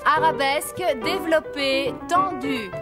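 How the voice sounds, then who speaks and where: Cartoon ballet mistress: high-pitched, encouraging, instructive, with music underneath. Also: female voice, unhurried. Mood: instructing. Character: kindly, encouraging female ballet teacher. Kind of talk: dubbed cartoon acting. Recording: music behind the voice.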